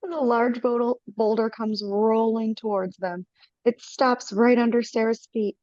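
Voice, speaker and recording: A woman's voice: a little high, steady tone, a little slow, darkish tone, no room sound. Woman narrator, casual reading, self-corrections, dry dull lo-fi video call audio. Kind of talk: reading stage directions aloud.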